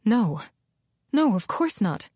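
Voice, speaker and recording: A woman's voice: very animated, slow, mellow, husky, no room sound, clean studio sound, heavily acted. A woman voicing a frightened mother in an audiobook, talking shakily and pleadingly. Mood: anxious. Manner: shaky and pleading, higher than the narration. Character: frightened young mother, an investigator.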